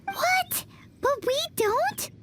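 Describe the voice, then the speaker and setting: Very high squeaky little companion. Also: female voice, lively intonation, extremely slow, over loud music, heavily acted. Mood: eager, puzzled. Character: tiny squeaky childlike companion, blunt and eager. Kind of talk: video game voice acting.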